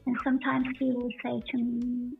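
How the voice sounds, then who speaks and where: Female interview guest from Bulgaria, hesitant, husky and even in tone, muffled distant audio with faint music. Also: on the high side. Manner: halting, hesitant, repeats words. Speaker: guest sharing her faith testimony. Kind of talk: personal testimony in an interview.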